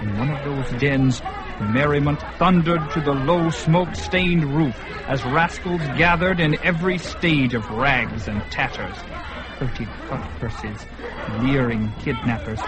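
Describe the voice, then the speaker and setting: A man's voice: mid-range voice, rough recording. A man narrating a radio drama in a vivid, dramatic way. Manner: vivid, building intensity.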